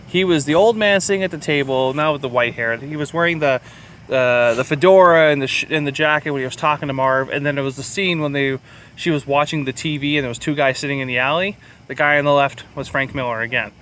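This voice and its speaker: A man's voice: fairly high pitch, fast, a bit bright. A man chatting casually and opinionatedly as he co-hosts a movie review, rambling and swearing freely. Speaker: podcast co-host reviewing films.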